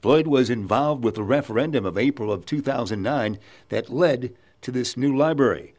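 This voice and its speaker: Man news voice-over, measured, middle pitch. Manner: steady, measured read. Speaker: news narrator. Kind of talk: scripted voice-over.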